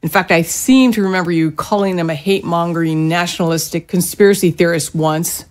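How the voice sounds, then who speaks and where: A woman playing a fussing, mildly exasperated middle-aged mother in a naturalistic audio drama, gently nagging. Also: fairly low pitch, fairly quick, natural voice. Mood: weary, skeptical.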